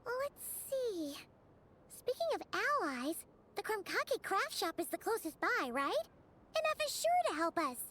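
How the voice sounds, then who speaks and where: A woman voicing a small, plucky childlike fairy companion in a video game, with a squeaky, chirpy voice. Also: sing-song, unhurried, fairly bright tone, slight background noise, heavily acted. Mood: thoughtful. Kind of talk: game voice acting. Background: game music.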